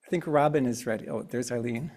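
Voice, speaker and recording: Gravelly man calling up readers. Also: medium pitch, fast talker, darkish tone. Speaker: minister leading the service. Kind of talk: inviting readers to the pulpit. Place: church sanctuary.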